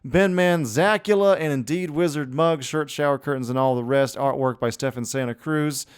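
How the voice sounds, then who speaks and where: Dude with a smooth clear voice, joking around on a podcast, super casual. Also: male voice, a little high, normal speed. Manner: irreverent, joking. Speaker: podcast co-host. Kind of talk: casual chat and banter.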